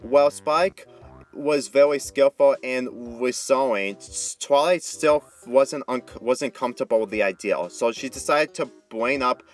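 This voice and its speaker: Man, amateur story narrator, mid-pitched, stumbling read with repeated phrases, background music. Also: a little slow. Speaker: story reader. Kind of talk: amateur fan fiction narration.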